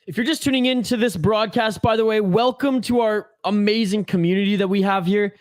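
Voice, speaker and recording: A man's voice: sits high, fairly even intonation, brisk, no echo. A young Canadian man hosting a live hockey watch-along, chatting casually, reading viewer comments aloud and swearing freely. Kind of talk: casual live-stream chat.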